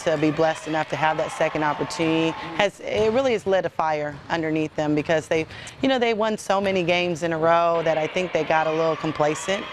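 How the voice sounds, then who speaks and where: A man's voice: fairly high pitch, fairly quick, crowd noise. A man with an American accent, a coach answering in an interview. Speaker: college basketball coach. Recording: noisy outdoor interview with people around.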